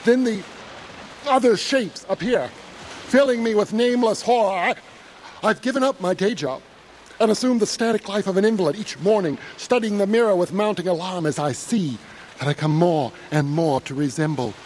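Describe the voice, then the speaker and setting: Guy playing haunted young man in a horror radio play, high voice, intense n theatrical, faint ambience behind him. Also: moderate pace, darkish tone, slight background noise, close to an everyday voice. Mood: horrified. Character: haunted young male narrator, anxious, sliding into rapt madness. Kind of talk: dramatic horror audio drama narration.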